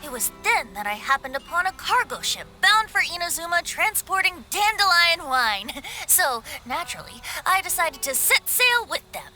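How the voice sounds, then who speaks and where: A woman's voice: extremely high pitch, sing-song, moderate pace, few pauses, sharp tone, faint music behind, full character voice. A woman playing a playful, mischievous young male bard in a video game, theatrical and lilting. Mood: breezy storytelling. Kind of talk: video game cutscene acting.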